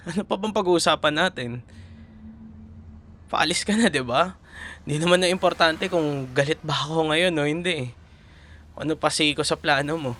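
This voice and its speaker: A man's voice: high voice, fairly bright tone. A man playing a young Filipino boyfriend, tender and proud, in an intimate audio roleplay, with a Filipino accent. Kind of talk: ASMR boyfriend roleplay drama.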